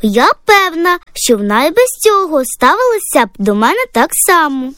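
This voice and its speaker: A woman's voice: sits high, very animated, unhurried, no echo, studio quality. Woman voicing eager young schoolgirl. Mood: excited. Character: excited proud schoolgirl Irynka.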